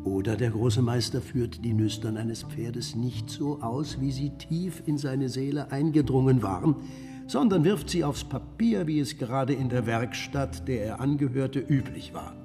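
Actor as a solemn old master in long reverb. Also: male voice, mid-range voice, close to an everyday voice. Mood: solemn, reflective. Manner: measured, contemplative, storytelling delivery. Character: old master miniature painter, solemn mentor of tradition. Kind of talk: literary radio drama monologue. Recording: long reverb, music behind.

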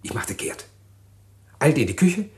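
A courteous, calculating husband in a radio crime drama. He talks in formal, carefully placed phrases with small polite hesitations, and his pitch moves in a lively way. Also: measured pace. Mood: measured, confessing. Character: smooth, scheming husband confessing a murder plot, outwardly courteous.

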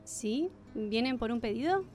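A high-voiced young woman, played by an actress, earnest and polite in a radio play. She is somewhat hesitant, with soft music behind her. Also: lively intonation, measured pace. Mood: polite, uneasy. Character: earnest young niece, a hesitant healer and cook. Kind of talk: radio drama acting.

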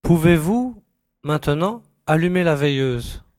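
A man's voice: fairly high pitch, expressive, measured pace, a little mellow, no room sound. A man playing an inquisitive, commanding young investigator in an audiobook. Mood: brisk, commanding. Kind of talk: audiobook character dialogue.

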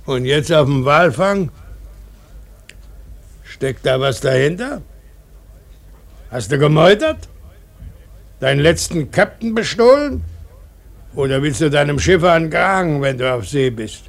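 An actor playing a blustering old whaling captain in a German radio play, gruff, bullying and mocking. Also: male voice, a little high, animated, measured pace, long pauses. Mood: mocking, aggressive.

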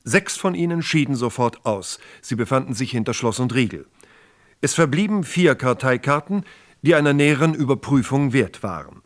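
Formal male crime narrator, medium pitch. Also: animated. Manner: formal, measured storytelling. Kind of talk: scripted true crime radio play narration.

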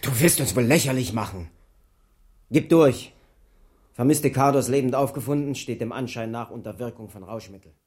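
A man's voice: mid-range voice, normal speed, darkish tone, lightly acted. Actor as a pressing policeman in echoey room. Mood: brisk, official. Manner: official, pressing, sceptical. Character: insistent male police officer questioning a husband. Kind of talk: 1980s German radio drama acting. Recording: roomy, echoing radio drama scene.

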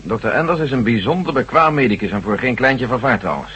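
An actor playing a loyal, hearty military general in a radio drama, reasoning like a cautious friend. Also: male voice, medium pitch, animated, fast talker, a bit bright, slightly rough. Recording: faintly hissy old radio drama recording.

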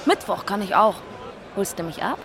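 A woman's voice: mid-range voice, lively intonation, moderate pace, crowd noise, lightly acted. An actress playing a casual, unimpressed young woman in a radio play. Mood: friendly, casual. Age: 20s.

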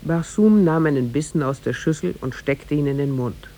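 A man narrating a tale in a 1950s German radio play, formal and measured. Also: on the high side, warm tone, natural voice. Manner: formal, measured storybook delivery.

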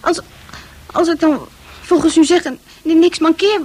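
A woman's voice: very high voice, fairly even intonation, a little slow, darkish tone. A woman acting a troubled, distrustful psychiatric patient in a radio drama, wary and exasperated. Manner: protesting, wary. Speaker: actress in a radio play.

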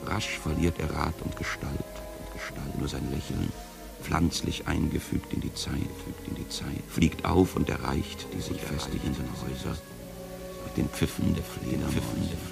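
Casting: extremely deep male voice with gravelly creak, world-weary wanderer, dryly ironic poetic radio narration. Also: steady tone, normal speed, over loud music, full character voice. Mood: poetic, solemn. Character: sardonic, world-weary first-person wanderer and guide. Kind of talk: literary radio play narration.

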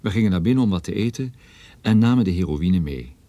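A man's voice: sits low, lively intonation, fast talker, warm tone, no room sound. An actor playing a wry private detective who narrates his own story in a radio drama, dry and ironic. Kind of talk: first-person radio drama narration, noir.

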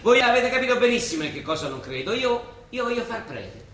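An actor playing a rebellious, disillusioned middle-aged priest in a radio drama, wry and plain-spoken with a Roman accent. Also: male voice, extremely high pitch, big pitch swings, brisk, a bit bright, exaggerated voice. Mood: defiant, weary. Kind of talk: Italian stage-style radio drama. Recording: echoey stage recording, distant mic, hiss.

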